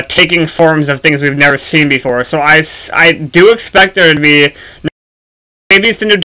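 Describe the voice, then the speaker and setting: A man giving casual, unscripted gaming commentary for a video, speaking haltingly with frequent ums and pauses. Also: fairly high pitch, pushed and bright, clean tone, poor audio.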